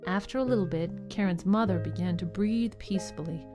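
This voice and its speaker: A woman's voice: on the low side, brisk. A woman narrator reading a scripted holiday story for a radio drama in a storytelling style. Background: music.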